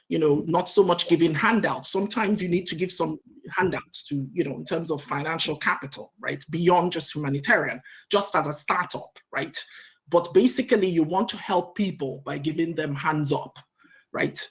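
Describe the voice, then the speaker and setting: A woman's voice: low-pitched, moderate pace, rough recording. A middle-aged woman, answering on a panel with energy, listing her points and checking in with "right?". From Nigeria. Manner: energetic, lists points, keeps adding "right?".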